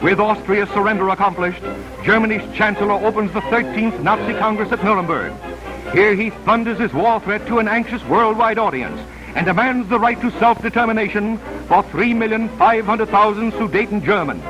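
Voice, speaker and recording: Male 1930s newsreel narrator, high register, dramatic scripted delivery, vintage film sound with background music. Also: normal speed, low-quality recording. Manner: dramatic, solemn declamation.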